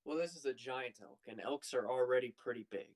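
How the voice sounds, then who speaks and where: A man's voice: medium pitch, a little fast, a little mellow. A man chatting casually and playfully as a player in a tabletop role-playing game.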